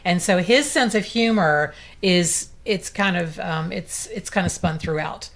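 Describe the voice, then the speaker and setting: A woman's voice: fairly low pitch, unhurried. A woman guest from a theater company, chatting enthusiastically and searching for words. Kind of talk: casual interview chat.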